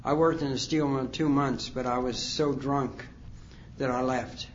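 A man in his sixties speaks at a conference about his own recovery, wandering through his story with plenty of pauses and fillers. His pitch sits in an ordinary middle range. The recording sounds dull and lo-fi, with a faint hum of ambience behind him. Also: steady tone. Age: 60s. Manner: rambling, halting, many fillers. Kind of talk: personal testimony talk. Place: conference hall.

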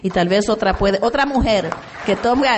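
A woman from Puerto Rico gives a personal testimony at a recovery meeting. She talks haltingly, with many fillers and restarts, at an ordinary middle pitch. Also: slight background noise. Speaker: AA meeting member sharing.

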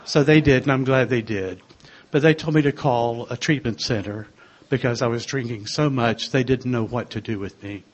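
An older man with a soft voice, sharing his own story in a gentle, wry way. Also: medium pitch, normal speed, hardly any pauses, muffled recording. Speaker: speaker sharing a recovery story. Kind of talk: personal testimony to a group.

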